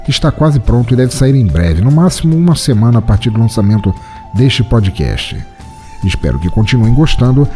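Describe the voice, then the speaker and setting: A man's voice: medium pitch, dark tone. A man with a Brazilian Portuguese accent, hosting a podcast and reading a horror story in a theatrical, playful way. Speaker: podcast host and story reader. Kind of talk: podcast announcements and scripted horror story narration.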